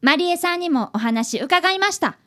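An earnest little boy of about ten, an apprentice detective in a radio drama. The voice is extremely high and very animated, with polite, eager phrasing. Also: moderate pace, full character voice. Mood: earnest, gentle.

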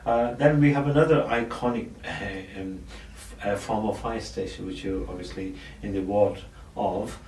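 A man with a low voice hosts a community podcast, keen and agreeable, filling gaps with yeahs and ums. He sounds distant from the mic. The room is echoey, with a faint noise underneath. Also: moderate pace, hardly any pauses, a little mellow. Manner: eager, with many agreeing fillers. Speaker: podcast host. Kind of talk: casual interview questions.

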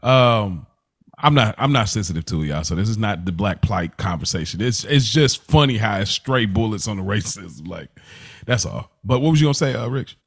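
Animated male podcast host, very lively pitch. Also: moderate pace, husky. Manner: animated, joking, slangy. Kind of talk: casual chat reviewing a TV series.

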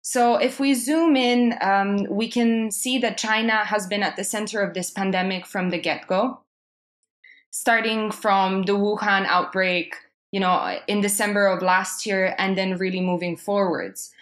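A young adult woman from Serbia presenting a prepared talk on world affairs, measured and with frequent ums. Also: mid-range voice, steady tone, fairly bright tone.

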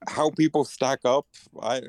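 A man's voice: medium pitch, fairly even intonation, a little slow, darkish tone. A man in his thirties, a podcast guest, chatting in a laid-back, self-deprecating and hesitant way. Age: 30s. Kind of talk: casual podcast chat.

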